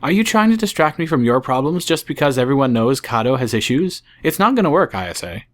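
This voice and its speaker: An actor playing a gentle but persistent schoolboy in an audiobook, speaking softly and sincerely. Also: male voice, medium pitch, sing-song, a little fast, no room sound, close to an everyday voice. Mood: frustrated. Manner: soft, sincere, pleading. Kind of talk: audiobook character acting.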